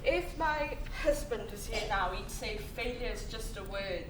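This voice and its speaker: A woman's voice: mid-range voice, animated, a little slow, natural voice. Wry, blunt woman, acted, distant. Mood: wry, teasing. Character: wry, blunt, supportive female afterlife official. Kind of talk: stage play acting. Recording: echoey distant stage recording, clear noise.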